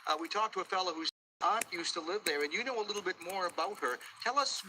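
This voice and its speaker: A man's voice: high voice, quick pace, sharp tone, slight background noise, rough recording. An American man reporting live outdoors from a storm scene, interviewing on the spot as a field news reporter. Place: outdoors at a storm damage site.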